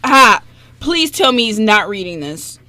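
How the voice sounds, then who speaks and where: A woman's voice: mid-range voice, big pitch swings, unhurried, bright, forceful tone. A woman playing an anxious, awkward, earnest young man in an amateur radio play, easily flustered. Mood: flustered pleading.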